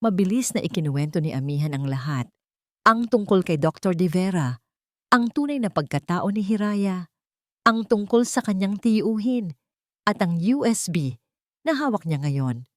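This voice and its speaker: A woman's voice: medium pitch, lively intonation, moderate pace, dry recording, clean studio sound. A woman with a Filipino accent narrating a dramatic scripted story.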